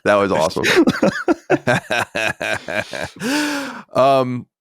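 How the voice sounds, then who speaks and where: A man's voice: medium pitch, huge pitch swings, very slow, gravelly, a bit bright, no echo. A man in his forties co-hosting a podcast, chatting casually, reading listener mail aloud and answering bluntly with sighs and swearing. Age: 40s. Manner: sighing, blunt and profane, reads letters aloud. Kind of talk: casual chat.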